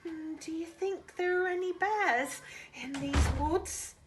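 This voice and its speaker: Woman voicing an excitable high-pitched young boy tiger. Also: slow, noisy background. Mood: nervous, alarmed. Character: excitable, jumpy young male tiger, bold talker, easily scared.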